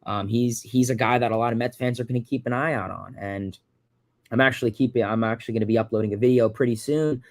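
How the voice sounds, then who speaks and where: A man's voice: mid-range voice, steady tone, fast, dry recording. A man in his early twenties from Long Island, New York, hosting a casual livestream and answering viewer questions. He rambles and repeats words, with lots of fillers. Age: 20s. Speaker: livestream host.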